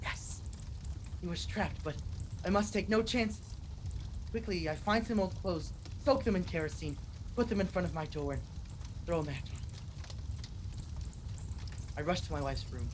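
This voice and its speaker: A man's voice: sits high, moderate pace, long pauses, a little hiss or hum, natural voice, rough recording. An actor playing a desperate, obsessed husband who narrates his horror tale in an intense, melodramatic 1940s radio style. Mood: tense, grim.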